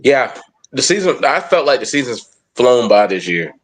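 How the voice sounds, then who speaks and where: A man from Georgia co-hosting a sports podcast, excited and upbeat. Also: mid-range voice. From Georgia, USA. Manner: excited, upbeat, quick bursts of praise. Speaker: podcast co-host. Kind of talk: casual sports podcast chat.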